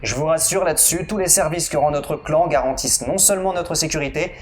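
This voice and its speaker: A man's voice: fairly high pitch, brisk, natural voice. A man playing a jaded, commanding crime clan chief in a sci-fi audio drama, assertive and coldly menacing. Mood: assured, menacing.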